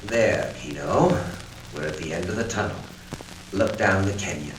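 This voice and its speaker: A man's voice: sits low, moderate pace, natural voice. Menacing radio villain played by a man, echoey and distant. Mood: gloating, tense. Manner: commanding, threatening. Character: menacing male villain boss bullying his henchman. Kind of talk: 1940s radio acting. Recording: old radio record, distant, echoey, hissy.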